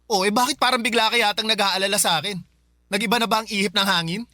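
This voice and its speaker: An actor voicing a defiant, sulky young man in a radio drama, talking back to his older sister with quick retorts. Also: mid-range voice, fairly even intonation, pushed and bright, no echo, studio quality, close to an everyday voice.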